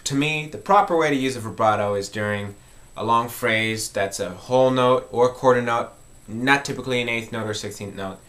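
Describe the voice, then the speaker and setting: A man's voice: mid-range voice, moderate pace, a bit bright. A man hosting an informal music tutorial, explaining step by step in a conversational way and repeating key points.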